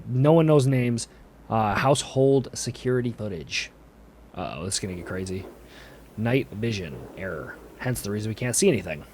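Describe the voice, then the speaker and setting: A man's voice: on the low side, lively intonation, moderate pace, a little mellow, slight background noise. A man hosting a reaction video, giving casual, exclamatory commentary full of slang.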